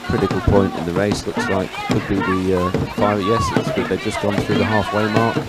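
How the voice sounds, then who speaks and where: Male live sports commentator, lowish register, unscripted and a bit halting, prominent music under the voice. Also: flat delivery. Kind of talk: live race commentary and chat. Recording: music behind the voice.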